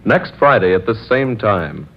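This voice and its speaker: A man's voice: lowish voice, sing-song, measured pace. Muffled vintage radio announcer, a man. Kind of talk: formal old-time radio announcing, reading credits.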